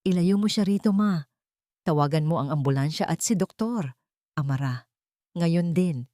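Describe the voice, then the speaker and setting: A woman voicing a cold, controlling husband who speaks flatly, with no emotion. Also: lowish voice, moderate pace, no room sound, studio quality, close to an everyday voice. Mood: commanding. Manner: flat, emotionless.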